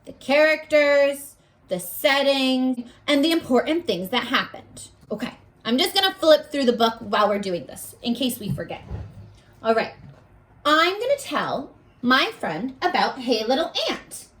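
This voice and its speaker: A woman's voice: high-pitched, very animated, unhurried, fairly bright tone, poor audio. A woman teacher leading a read-aloud lesson for young children, guiding them with simple questions and encouragement. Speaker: elementary school teacher.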